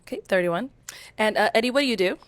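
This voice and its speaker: A woman hosting a dating show, asking brisk, upbeat questions and announcing guests. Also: medium pitch, lively intonation, no echo.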